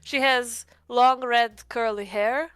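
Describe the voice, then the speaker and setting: Woman, roleplaying game player, slightly high crystal-clear voice, unhurried, casual improvised description. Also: fairly even intonation, a bit bright, no room sound.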